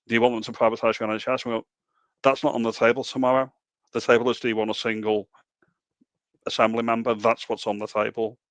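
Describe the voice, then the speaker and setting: A man with a Liverpool accent hosting a casual political chat, animated and full of rhetorical questions. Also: on the low side, no room sound. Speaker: podcast host.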